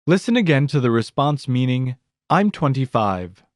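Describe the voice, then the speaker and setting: A male narrator for a language course, reading scripted prompts in an even, orderly pattern. His pitch moves a lot, and the sound is dry and close. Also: normal speed, dry recording. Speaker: lesson narrator.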